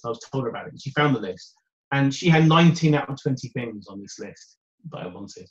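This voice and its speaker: British man, loud voice, chatty dating coach, roomy echo, dull lo-fi sound. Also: mid-range voice. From United Kingdom. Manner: self-described loud voice, upbeat and chatty. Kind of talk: conversational interview answers. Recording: roomy, dull remote audio.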